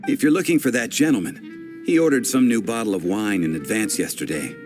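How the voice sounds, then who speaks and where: A man's voice: lowish voice, brisk, a little mellow, faint music behind, natural voice. A man voicing a polite, matter-of-fact tavern bartender in a fantasy video game.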